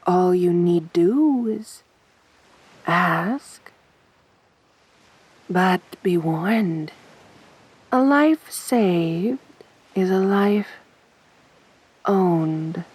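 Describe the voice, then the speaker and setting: A woman playing a possessive, teasing siren in a soft, breathy voice for an ASMR roleplay, with a seductive, toying manner. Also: on the low side, lively intonation, heavily acted. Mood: teasing, menacing. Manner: slow, seductive, toying, assertive. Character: beautiful sadistic siren, winged monster woman, teasing, possessive.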